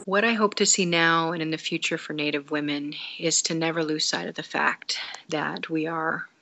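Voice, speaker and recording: Low-voiced woman, earnest webcam testimony. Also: steady tone, normal speed, gravelly, sharp tone, slight background noise, rough recording. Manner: earnest, reflective. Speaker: interviewee. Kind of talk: personal testimony. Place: indoor room. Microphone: laptop webcam, close.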